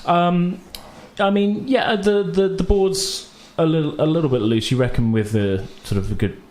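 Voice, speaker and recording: A man acting as game master, narrating a tabletop roleplaying game in a casual, halting, rephrasing way. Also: on the high side, darkish tone. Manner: halting, hedging with "sort of", rephrasing.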